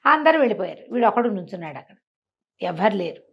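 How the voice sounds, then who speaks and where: A slightly lo-fi recording of a woman. She tells a folk tale in a middle-pitched voice, chatting it out to a listener and slipping into the characters' dialogue as she goes. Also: big pitch swings, fast talker, creaky, slightly rough. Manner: conversational, acts out dialogue, checks listener follows. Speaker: storyteller. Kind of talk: animated oral storytelling of a moral folk tale to a listener.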